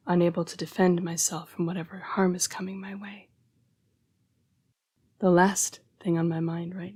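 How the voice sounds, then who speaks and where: A woman voicing an apologetic, protective yet predatory female vampire fighting her bloodlust in an intimate audio roleplay, with hushed, formal, halting speech. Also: on the low side. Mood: gentle, restrained. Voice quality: breathy, whispery. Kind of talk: intimate scripted audio roleplay addressed to the listener.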